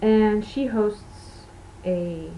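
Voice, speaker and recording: A woman's voice: mid-range voice, very slow, warm tone, a little hiss or hum, rough recording. A woman from Portugal, a native Portuguese speaker, hosting a knitting podcast in rambling, self-correcting casual chat.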